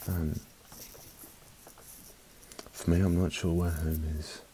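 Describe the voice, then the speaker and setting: A man from South Africa with a mixed British accent, giving an unhurried, soft-spoken ASMR album review in a half-whispered voice with wry asides, close to the mic. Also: low voice, level tone, slight background noise, poor audio.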